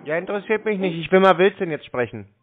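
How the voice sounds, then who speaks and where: A man's voice: high voice, expressive, fast, a crowd in the background. A man arguing over the phone, blunt, accusatory and insistent. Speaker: scam-baiting caller. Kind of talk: confrontational phone conversation. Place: phone call. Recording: tinny, narrow-band phone line.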